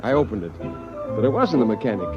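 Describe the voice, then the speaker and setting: Frustrated American husband and narrator, 1950s radio drama. Very animated, excitable, dull old broadcast sound with music. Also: male voice, fairly low pitch, quick pace. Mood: wry narrating. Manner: exasperated, indignant, theatrical. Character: frustrated out-of-work bassoonist husband, excitable and sarcastic. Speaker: lead actor and first-person narrator.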